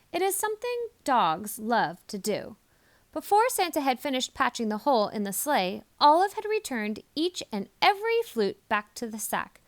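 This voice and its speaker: A woman narrating a children's story expressively, leaning deliberately on key words. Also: on the high side. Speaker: storybook reader.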